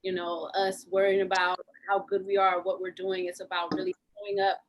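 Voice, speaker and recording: A woman's voice: mid-range voice, flat delivery, brisk, poor audio. A woman actor talking informally and reflectively in a question and answer session on a video call. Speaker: actor in an acting class.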